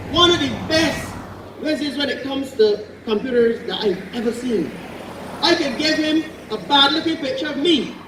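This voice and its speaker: A Barbadian man speaks to a rally crowd in a very high voice, impassioned and folksy. His words ring out with echo as though heard from a distance. A faint hum of noise sits underneath. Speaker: political candidate. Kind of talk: outdoor political rally speech. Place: outdoor political meeting. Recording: echoey, distant rally sound.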